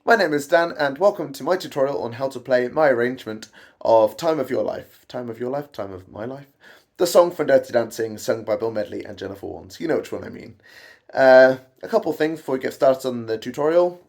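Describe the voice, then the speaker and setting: A man teaching a guitar arrangement in a casual, wry, self-deprecating way, his voice croaky from an oncoming cold. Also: mid-range voice, expressive, fairly quick, a little mellow. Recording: guitar playing behind the voice.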